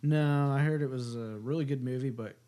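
A man's voice: mid-range voice, fairly even intonation, fairly quick, warm tone. A man giving short, casual opinions as a podcast panelist. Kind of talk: casual chat.

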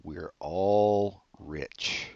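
Deep-voiced male co-host, dry and rambling, muffled dry recording. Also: drawn-out words, gravelly, a little mellow, no room sound. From born in Brazil, raised in California. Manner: dry, rambling, swears casually. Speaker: podcast co-host. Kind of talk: casual comedic chat. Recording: dull dry lo-fi audio.

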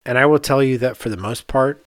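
A man's voice: mid-range voice, brisk, no room sound. Casual male podcast host, dry close sound. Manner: animated storyteller, quotes people in anecdotes.

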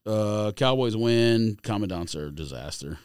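A man's voice: fairly low pitch, lively intonation, normal speed, darkish tone, clean tone, no echo, studio quality. A man on a podcast panel giving casual, opinionated takes in group banter.

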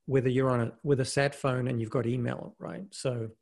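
Middle-aged man, grew up in South Africa, casual interview guest, relaxed, restarts his sentences. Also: medium pitch, fairly quick, gravelly. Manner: relaxed, with frequent restarts and "you know". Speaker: guest, investment fund manager and mountaineer.

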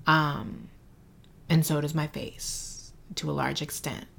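A low-pitched woman speaks slowly as an interview guest, with a gravelly edge and a bright, forceful tone. She pauses to search for words, often filling gaps with "um" and "like.". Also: flat delivery. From Mexico City and San Antonio, Texas. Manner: thoughtful, searching for words, frequent um and like. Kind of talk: reflective interview conversation.

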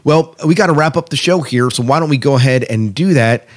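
A man's voice: mid-range voice, a little fast. Casual male sci-fi podcast co-host. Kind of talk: casual podcast chat about science fiction.

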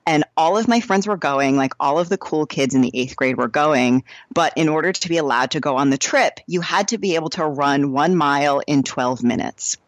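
A woman's voice: deep voice, moderate pace, hardly any pauses, no echo. A woman in her forties from New Hampshire with an American accent, speaking as a guest in a casual, enthusiastic and emphatic conversational style. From New Hampshire, United States. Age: 40s. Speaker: podcast guest, amateur runner.

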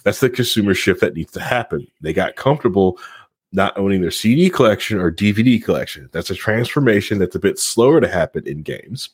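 A man in a casual podcast chat as a co-host, talking in a halting way and often repeating words and phrases. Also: on the low side, expressive, husky, dry recording.

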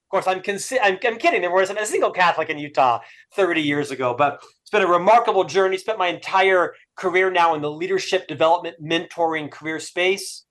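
A man's voice: sits high, a little fast, fairly bright tone. A middle-aged man from Florida with a stutter, speaking as an interview guest and author with upbeat, self-deprecating humor. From Florida, USA. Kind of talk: interview conversation.

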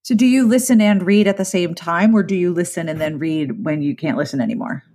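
A woman's voice: lowish voice, brisk, darkish tone. A woman hosting a podcast interview in a talkative, thoughtful, casual way. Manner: thoughtful, talkative, frequent restarts. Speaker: podcast host.

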